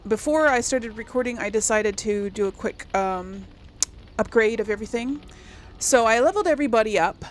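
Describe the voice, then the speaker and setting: A woman's voice: medium pitch, a little hiss or hum. A woman giving casual let's play commentary as host, rambling as she thinks aloud and corrects herself. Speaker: gaming video host.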